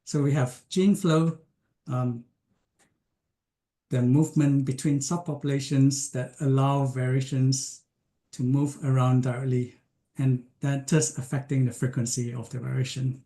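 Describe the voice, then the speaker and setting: A man from Malaysia giving an online science lecture, speaking with frequent ums, pauses and restarts. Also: medium pitch, flat delivery, mellow. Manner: hesitant, many ums and restarts.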